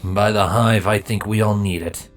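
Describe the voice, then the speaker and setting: A man's voice: lowish voice, fairly even intonation, moderate pace, natural voice. A man voicing an easygoing, joking changeling father in a fantasy story. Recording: music behind the voice.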